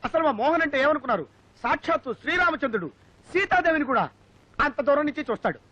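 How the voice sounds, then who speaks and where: A man's voice: very high-pitched, expressive, quick pace, bright, forceful tone, dry recording, exaggerated voice. An actor in a film comedy playing a hard-drinking, blustering man who poses as a father and talks in exaggerated threats. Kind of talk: Telugu comedy film acting. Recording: dull film soundtrack with music behind.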